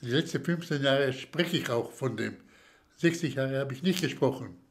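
An older man from Slovakia, giving slow, hesitant testimony in an interview with many pauses and restarts. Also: medium pitch. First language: German as childhood language, long unused.

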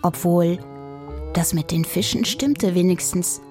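A woman narrator telling a scripted children's radio play story in an expressive way. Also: fairly low pitch, unhurried, soft background music.

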